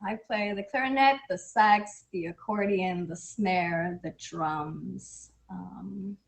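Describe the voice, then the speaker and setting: Easygoing Canadian woman guest, low voice. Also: slow, vocal fry. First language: Portuguese. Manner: easygoing, modest, with fillers. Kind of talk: casual interview.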